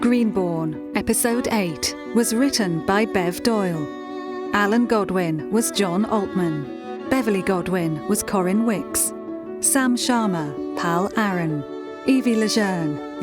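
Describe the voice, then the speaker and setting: A woman reading scripted closing credits for a radio drama as an announcer. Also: medium pitch. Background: music.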